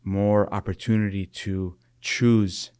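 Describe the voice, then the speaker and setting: A young man from Michigan, talking earnestly and thoughtfully as a podcast guest, often pausing and restarting his sentences. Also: fairly low pitch, level tone, a little mellow, clean tone, dry recording. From Michigan, USA. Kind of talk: reflective interview talk.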